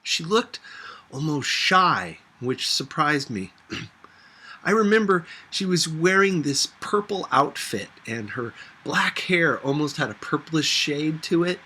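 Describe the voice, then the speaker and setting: A man's voice: fairly high pitch, big pitch swings, takes it slowly, sharp tone, husky. A man reading a first-person story aloud in a conversational, reflective manner. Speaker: audiobook reader.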